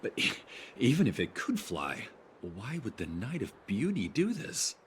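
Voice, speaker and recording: A skeptical functionary from a video game. His low voice moves in animated, flustered swings, with noisy ambience behind it. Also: measured pace. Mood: flustered doubt.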